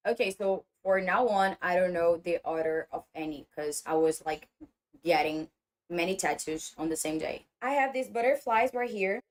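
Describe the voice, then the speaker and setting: Woman speaking halting English. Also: on the low side. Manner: halting, searching for English words. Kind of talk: casual vlog talk.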